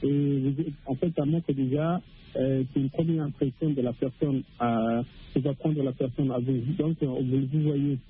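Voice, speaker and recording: A man from Africa calling in to a radio discussion, speaking haltingly with many restarts and fillers over a phone line. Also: mid-range voice, fairly even intonation, breathy edge. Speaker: radio caller. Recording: tinny phone line with faint noise.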